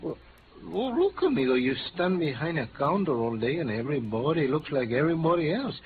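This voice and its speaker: A man's voice: on the high side, big pitch swings, brisk. An actor playing a chatty, evasive taco-counter man in a 1950s radio drama, folksy and shrugging. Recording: tinny, noisy vintage radio recording.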